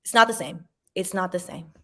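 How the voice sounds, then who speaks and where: A woman in her forties casually chatting to viewers and reading comments, with animated emphasis and sudden jumps in pitch. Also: fairly quick, a little hiss or hum. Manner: talks to viewers directly, sips wine.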